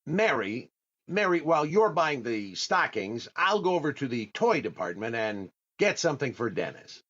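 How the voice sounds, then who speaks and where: A genial, easily baffled shopper in an old-time radio comedy. His mid-pitched voice rises and falls widely as he hesitates and repeats himself. The sound is slightly dull. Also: sing-song, normal speed, fairly bright tone. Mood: distracted, genial. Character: genial, easily puzzled man out Christmas shopping.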